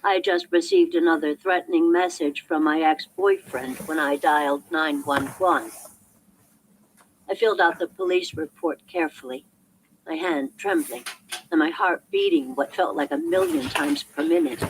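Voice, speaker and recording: A woman's voice: on the low side, sing-song, moderate pace. A woman reading as an audiobook narrator in a scripted narration style, heard as a replayed recording. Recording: noisy replayed audiobook playback.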